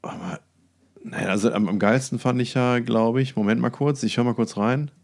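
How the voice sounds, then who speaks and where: A middle-aged man co-hosting a podcast, chatting casually about music with dry, teasing, halting delivery. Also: mid-range voice, darkish tone. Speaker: podcast co-host.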